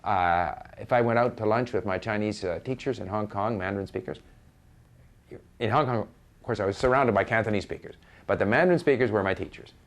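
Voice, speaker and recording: A man's voice: on the low side, brisk. A man with a Canadian accent giving informal, opinionated advice as an experienced learner, emphatic and repeating phrases for stress. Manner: emphatic, repeats phrases for stress, quotes imagined speakers conversationally.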